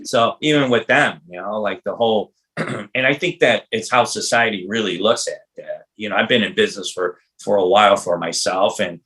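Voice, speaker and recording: A man's voice: on the low side, normal speed, a bit bright. A man in his fifties hosting a podcast, chatting informally and loosely with slang and repeated words. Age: 50s. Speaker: podcast host. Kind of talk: casual, rambling interview chat.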